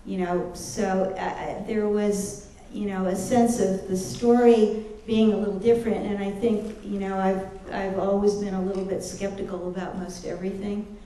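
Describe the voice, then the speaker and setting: A woman in her seventies whose first language is German, speaking in a halting, reflective way with many pauses and fillers. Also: medium pitch, little pitch movement, warm tone, slight background noise. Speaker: panelist, child refugee. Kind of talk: personal testimony at a lecture. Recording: very echoey lecture hall.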